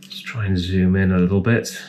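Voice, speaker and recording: Low-voiced man thinking aloud, noisy background. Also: fairly even intonation, normal speed, darkish tone. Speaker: electronics repair technician presenting a repair. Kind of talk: casual hands-on repair commentary.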